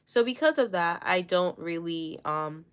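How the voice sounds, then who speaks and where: A woman's voice: lowish voice, lively intonation, measured pace, clear, resonant tone. A young woman from Jamaica with no accent, chatting casually and rambling with fillers while doing her makeup on a livestream. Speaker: livestream host.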